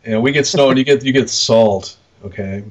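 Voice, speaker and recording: A man's voice: mid-range voice, moderate pace. An American man from Wisconsin chatting casually as a podcast co-host, informal and rambling, with some mild swearing. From Wisconsin, United States. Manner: informal, mildly profane, rambling. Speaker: podcast co-host and arcade worker. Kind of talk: casual chat.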